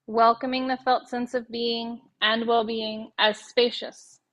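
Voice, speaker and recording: A woman leading a guided yoga nidra meditation in a gentle, soothing, measured way, leaving long pauses between short phrases. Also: a little high, flat delivery.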